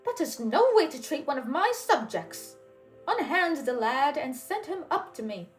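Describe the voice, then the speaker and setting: A woman's voice: high voice, big pitch swings, unhurried, soft background music, voice pushed up, poor audio. A woman voicing a confident, boyish young prince in a storybook, with formal and emphatic speech. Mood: commanding.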